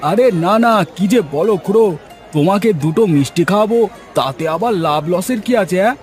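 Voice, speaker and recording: A man performs a generous cartoon shopkeeper from a village. His voice sits high, with a chatty, familiar, folksy delivery and faint music behind it. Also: expressive, fairly quick. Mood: chummy. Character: chummy, generous village sweet-seller in a cartoon. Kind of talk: cartoon voice acting.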